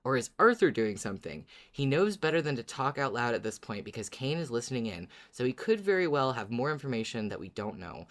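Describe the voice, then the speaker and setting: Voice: mid-range voice, very animated, brisk, few pauses, a bit bright. A podcast co-host with an androgynous voice, chatting casually and reading out listener messages. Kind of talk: casual fan discussion and reading listener emails.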